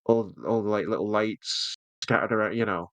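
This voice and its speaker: A man's voice: fairly low pitch, steady tone, moderate pace, dry recording. A British man co-hosting a podcast in casual banter, with a wry, rambling, sweary way of talking. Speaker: podcast co-host.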